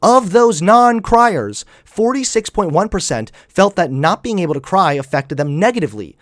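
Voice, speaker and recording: A man's voice: sits high, animated, moderate pace, no room sound. A young man hosts a heated online debate, lecturing in a slightly raised voice and cutting across his opponent. The sound is dry and close to the mic, with no room around it. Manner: combative, lecturing, talks over his opponent. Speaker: debate host.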